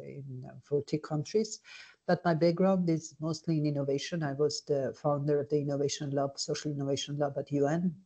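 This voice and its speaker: Woman from Armenia, mellow tone, confident and brisk, video call. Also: low voice, husky. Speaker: executive director of a nonprofit. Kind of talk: self-introduction on a video call.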